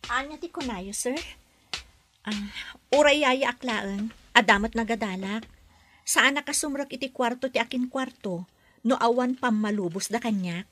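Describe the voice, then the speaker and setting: A woman's voice: a little high, normal speed, a bit bright. An actress playing a young woman working as a nanny abroad, firm and defiant toward her boss, in a radio drama. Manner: firm, pushes back politely.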